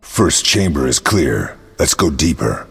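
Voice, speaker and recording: Grave game monster hunter, extremely deep raspy voice, long cave-like reverb. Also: male voice, big pitch swings, unhurried, fairly bright tone, faint music behind, exaggerated voice. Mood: grave, measured. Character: grave, battle-hardened adult male monster hunter. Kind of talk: video game dialogue acting.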